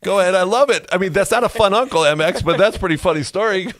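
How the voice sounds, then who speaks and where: A man's voice: sits high, a bit bright, dry recording. Teasing male morning radio host. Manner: teasing, quick to cut callers off.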